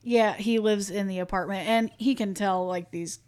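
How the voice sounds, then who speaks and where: A woman from the American Midwest co-hosting a casual movie podcast, chatty and quick to laugh. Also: mid-range voice, steady tone, a little mellow, clear, resonant tone. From Midwestern United States. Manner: chatty, laughs often, self-deprecating. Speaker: podcast co-host. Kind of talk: casual chat.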